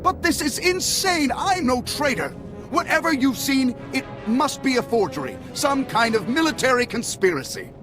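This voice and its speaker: A pompous, dramatic scheming senator. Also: male voice, extremely high pitch, lively intonation, moderate pace. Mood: panicked outrage. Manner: pompous, oratorical. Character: scheming, self-righteous senator, secretly a traitor, male. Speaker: politician. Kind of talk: video game voice acting, political speech. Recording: music behind the voice.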